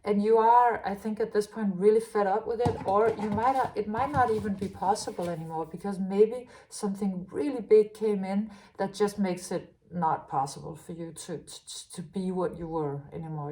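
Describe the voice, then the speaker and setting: A woman's voice: medium pitch, mellow. Musing Danish woman reading tarot. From Denmark. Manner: halting, many restarts and fillers, musing. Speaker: tarot reader. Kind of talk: spontaneous spiritual tarot reading.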